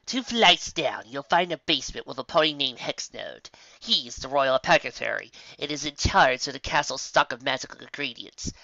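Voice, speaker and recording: A bossy young unicorn magician mare , acted out by a man, sarcastic and impatient. The voice is bright and lifted a little above plain talk, in a dry storybook reading. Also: medium pitch, lively intonation, moderate pace, vocal fry, no echo, highs cut off. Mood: exasperated.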